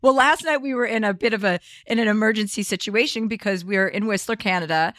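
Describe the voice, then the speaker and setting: A woman's voice: medium pitch, fast talker, a bit bright, no room sound, studio quality. A woman hosting a podcast, chatting casually and joking with her guest from a house on a trip. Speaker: podcast host. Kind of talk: casual chat.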